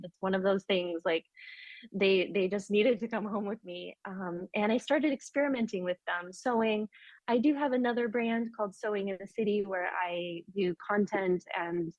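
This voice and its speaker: A woman's voice: medium pitch, no echo, dull audio. An American woman, a business founder, talking in a friendly, rambling way as a podcast guest over a remote call. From United States. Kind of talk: casual interview talk.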